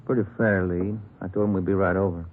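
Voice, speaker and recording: Low-voiced, plain-spoken detective partner. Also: male voice, fairly even intonation. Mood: brisk. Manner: casual, brisk, conversational. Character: plain-spoken male police detective, the sergeant's working partner. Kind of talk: 1950s radio police drama acting. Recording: noisy, tinny old radio recording.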